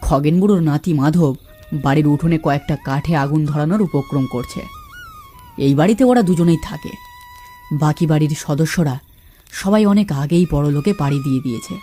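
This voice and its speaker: A man narrates a scripted story. His tone is dark and soft-edged, and his melody rises and falls widely. Faint music plays behind him. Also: a little high, animated, brisk.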